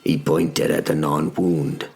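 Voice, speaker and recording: A man giving measured, dramatic first-person narration of a story in short phrases. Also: very deep voice, level tone, a little mellow.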